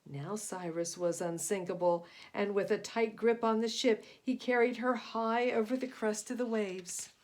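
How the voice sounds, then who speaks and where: A woman reading a picture book aloud to young children in expressive storytelling narration. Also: mid-range voice, unhurried, few pauses.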